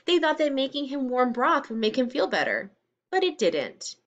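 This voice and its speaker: A woman's voice: high voice, big pitch swings, moderate pace, muffled recording. A woman reading a children's story aloud like a teacher, speaking expressively and explaining things simply to young listeners.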